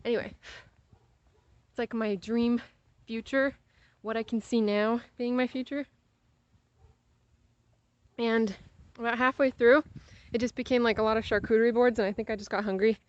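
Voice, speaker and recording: A woman's voice: a little high, steady tone, moderate pace, dry recording, dull audio. A young American woman chats casually while walking outdoors, rambling with playful exaggeration and easily sidetracked. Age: young adult. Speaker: vlogger.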